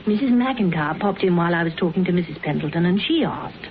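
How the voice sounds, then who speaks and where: Actress as a pert, animated lady sleuth, tinny radio. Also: lowish voice, fast talker, lightly acted. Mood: matter-of-fact, dismissive. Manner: pert, arch, playfully theatrical. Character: pert, self-assured, playfully sarcastic female detective's colleague. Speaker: actress playing the detective's colleague. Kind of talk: classic radio drama acting. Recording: noisy, narrow old radio recording.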